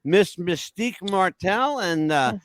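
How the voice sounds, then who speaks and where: An older male talk show host from the Northeastern United States, speaking in a high register with big swings of intonation. He banters casually and teases theatrically, and the sound is close and dry. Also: animated, unhurried, fairly bright tone, dry recording. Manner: teasing, theatrical, joking.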